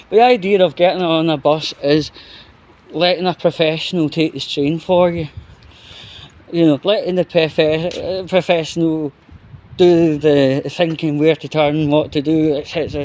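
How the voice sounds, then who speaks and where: Slow-talking Scottish man, fairly high voice. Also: a little mellow, a little hiss or hum, low-quality recording. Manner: halting, broken phrases with repetition. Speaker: vlogger. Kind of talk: unscripted opinion monologue.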